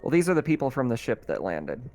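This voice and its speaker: A man running a tabletop roleplaying game as game master, narrating casually in a halting, improvised way. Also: medium pitch, big pitch swings, darkish tone. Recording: faint music behind the voice. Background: faint music.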